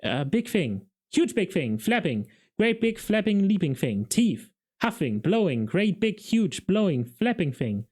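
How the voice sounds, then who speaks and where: A man doing an eager young watchman, pitched up. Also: big pitch swings, measured pace, a little mellow. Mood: eager guessing. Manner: eager, puzzled guessing. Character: young earnest naive male city watchman.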